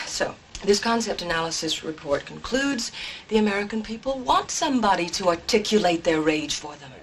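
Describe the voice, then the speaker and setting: A brisk, driven woman played by an actress in a film scene, speaking at a middling pitch. The sound is distant, with clear noise all over it, like a clip played back. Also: lively intonation, natural voice. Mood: brisk, matter-of-fact. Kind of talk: film dialogue.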